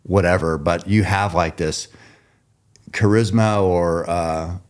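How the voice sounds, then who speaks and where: A middle-aged man with a very deep voice hosts a relaxed podcast conversation. He rambles, breaks off his sentences and starts them over, and laughs easily. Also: slow pace. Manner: rambling, self-interrupting, laughs often. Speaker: podcast host. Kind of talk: casual interview chat.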